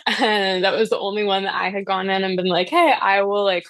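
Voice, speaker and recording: A woman's voice: mid-range voice, a little fast, fairly bright tone, no room sound. Woman telling a casual anecdote, close dry sound. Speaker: podcast guest telling a personal story.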